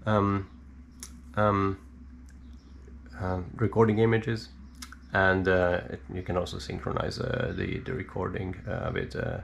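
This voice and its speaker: Low-voiced man, halting and gravelly. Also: level tone, slight background noise. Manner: hesitant, halting, thinking aloud with many pauses. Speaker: hobbyist presenter showing his equipment. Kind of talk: unscripted hands-on technical explanation. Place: indoor room at a cluttered worktable.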